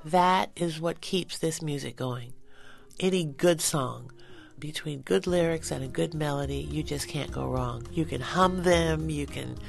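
A middle-aged woman singer, a guest in a conversational interview, animated and quick to laugh. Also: low-pitched, gravelly, soft background music. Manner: animated, laughs easily, talks with passion.

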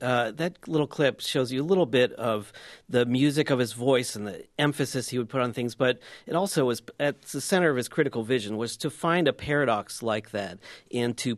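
Male radio guest, dry studio sound, mid-pitched, hesitant and full of fillers. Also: no echo. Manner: halting, many fillers and restarts. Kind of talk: remembrance in a radio interview.

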